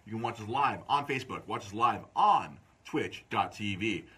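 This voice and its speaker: Loud joking man hosting a live stream. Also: fairly low pitch, animated, normal speed, slightly rough. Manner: loud, joking, plugs events. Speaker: podcast host. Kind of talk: casual live-stream chat. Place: comic book store.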